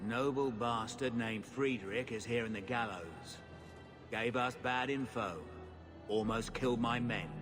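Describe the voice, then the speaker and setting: A man's voice: medium pitch, measured pace, natural voice. A man voicing a crude, blunt mercenary captain. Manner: coarse, blunt. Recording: game audio with music underneath.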